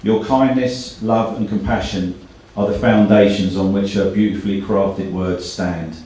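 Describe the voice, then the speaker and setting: A man with a fairly low voice is hosting a live event with prepared words and verse. He sounds distant from the microphone, with heavy room echo around him. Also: fairly even intonation, unhurried, mellow. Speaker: event host. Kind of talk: prepared speech and poetry reading to an audience. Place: indoor live launch event. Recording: very echoey hall, far from mic.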